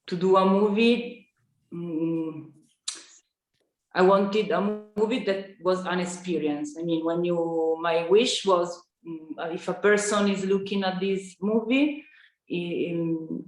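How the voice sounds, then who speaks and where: Woman, Italian accent, slow, lowish voice, halting, echoey room. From Italy. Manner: halting, searching for words in English. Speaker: film director. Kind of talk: panel discussion.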